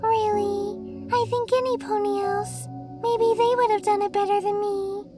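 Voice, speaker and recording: A woman voicing a gentle, weary pony mare who serves as Death, speaking softly, tenderly and wistfully in an audio drama. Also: very high voice, flat delivery, a little slow. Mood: gentle, resigned. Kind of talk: audio drama character dialogue. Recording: loud music bed, slightly distant. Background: loud music.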